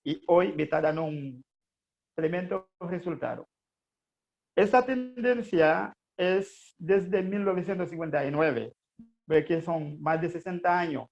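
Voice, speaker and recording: A man's voice: a little high, a little slow, vocal fry, a little mellow, muffled recording. A Haitian man whose first language is Haitian Creole, giving an earnest motivational business presentation in Spanish-accented speech with frequent hesitations and fillers. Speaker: network marketing presenter.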